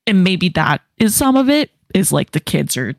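A woman's voice: lowish voice, very animated, moderate pace, no echo, clean studio sound. A woman in a casual podcast discussion, musing aloud in a tentative, conversational way. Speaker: podcast co-host or guest.

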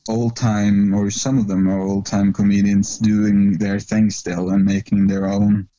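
A man from Norway with a Norwegian accent, a singer-songwriter chatting casually as a podcast guest, rambling and halting with fillers. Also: fairly low pitch, little pitch movement, mellow, no echo, lo-fi sound.

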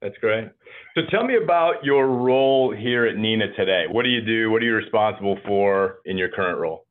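A man's voice: on the low side, brisk. A man hosting a podcast interview, asking friendly questions with a self-deprecating manner. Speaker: podcast host. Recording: tinny phone-like line.

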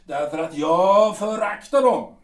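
A man playing a bitter, proud aging artillery captain in an audio drama, blustering and contemptuous, with drawn-out pompous phrasing. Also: high voice, lively intonation. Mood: scornful. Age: older. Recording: roomy, somewhat distant mic.

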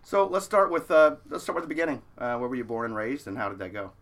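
A man's voice: mid-range voice, very animated, fast talker, a bit bright. A man hosting an interview podcast, welcoming his guest in an upbeat, eager way. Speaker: podcast host.

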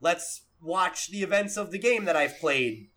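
A man's voice: sits high, expressive, measured pace, pushed and bright. A young man hosting a casual chat about games and films, swearing freely and peppering his talk with "like" and "you know". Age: young adult. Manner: frequent "like" and "you know", profane. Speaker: podcast host.

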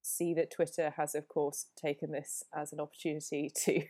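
Woman podcast guest, very low warm voice, head cold, wry remote chat. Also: level tone, moderate pace. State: has a cold. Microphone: remote call.

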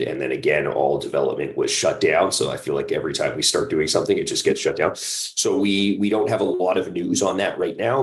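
A man talking casually as a guest in an interview, explaining his creative work in a conversational way. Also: a bass voice. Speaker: guest, audio drama creator.

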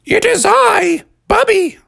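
A man voicing an excitable, bold young schoolboy in a children's story, with a high, squeaky voice. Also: takes it slowly, creaky, no room sound, studio quality, heavily acted. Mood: eager, bold. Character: excitable young schoolboy space adventurer named Bubby.

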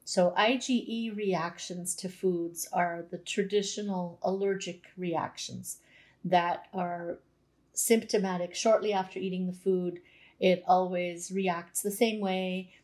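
A woman's voice: on the low side, fairly even intonation, takes it slowly, darkish tone. Canadian lady naturopath chatting, explains stuff with everyday examples. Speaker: naturopathic doctor guest. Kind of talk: explanatory interview talk.

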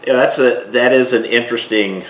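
A man hosting a cooking interview podcast, asking questions in a relaxed, measured way with many ums. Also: medium pitch, echoey room, a little hiss or hum. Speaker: podcast host.

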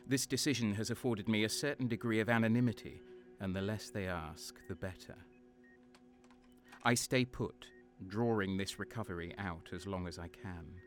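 Low-voiced, brooding soldier played by an actor. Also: male voice, normal speed, faint music behind, lightly acted. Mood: grim, wry. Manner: sardonic, brooding. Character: cynical, guarded wounded guardsman sergeant, war narrator. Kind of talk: first-person dramatic narration in sci-fi war audio drama.

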